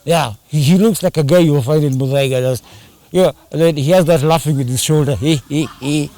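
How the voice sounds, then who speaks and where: A man's voice: a little high, brisk, warm tone. A man chatting casually in an interview, rambling and slipping into Swahili slang. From Kenya. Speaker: band singer and drummer.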